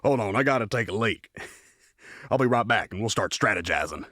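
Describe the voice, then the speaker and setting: An actor playing a gloomy, self-doubting small-town man in a western audio drama, hesitant and drawn-out. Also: on the low side, lively intonation, a bit bright, no echo, clean studio sound. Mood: fired up.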